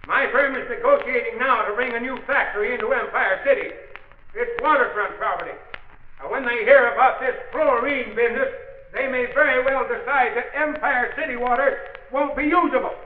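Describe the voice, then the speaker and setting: A man's voice: extremely high pitch, moderate pace. A man playing a stubborn, indignant civic opponent in a 1940s radio drama, orating emphatically and repeating his phrases. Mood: argumentative. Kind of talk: 1940s radio drama acting, public oratory. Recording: lo-fi echoey old radio transcription.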